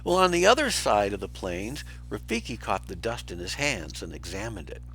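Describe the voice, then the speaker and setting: A man reading a children's story aloud in an expressive, deliberate, dramatic way, as a teacher does for pupils. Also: on the low side, slight background noise, rough recording.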